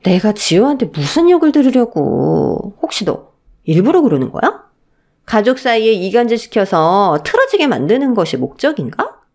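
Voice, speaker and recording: A woman's voice: medium pitch, huge pitch swings, darkish tone. A woman voicing a snide, envious older sister-in-law, sarcastic and falsely refined, in a radio story drama. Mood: accusing. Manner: sarcastic, passive-aggressive, drawn-out.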